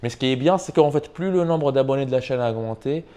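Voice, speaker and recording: A man's voice: mid-range voice, quick pace, dark tone, clean tone. A young man with a foreign accent, speaking French as a second language, chatting in an interview with many fillers and restarts. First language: not French. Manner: hesitant, many fillers and restarts, says "tu vois" often. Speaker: YouTuber and online business coach. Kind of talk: casual interview conversation.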